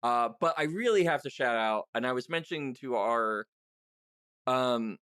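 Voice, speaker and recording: A man hosting a podcast in a casual, chatty style, speaking in a halting way with frequent restarts. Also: medium pitch, animated, fairly bright tone, clean tone, no room sound, studio quality. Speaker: podcast host and interviewer. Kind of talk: casual interview chat.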